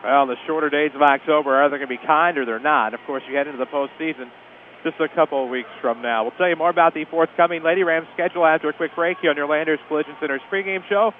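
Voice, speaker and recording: A man's voice: a little high, quick pace. A man working as a play-by-play announcer, giving live pregame commentary on local radio from a school arena over a phone-like line. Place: indoor school sports arena. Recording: phone-like line, steady venue noise.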